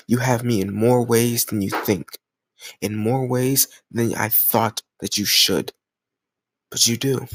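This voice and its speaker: A man's voice: mid-range voice, no room sound. Man acting a jealous lover, close and dry. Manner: emotional, colloquial, insistent. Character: jealous, stubborn young male lover confessing his love. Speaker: audio roleplay voice actor. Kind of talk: intimate scripted romantic audio roleplay.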